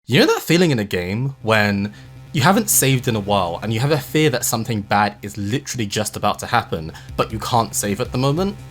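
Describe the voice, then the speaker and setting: Male video essay host, low register, very animated pitch movement, explanatory and emphatic, music bed underneath. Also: fairly quick. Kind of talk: scripted narration with casual asides. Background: background music.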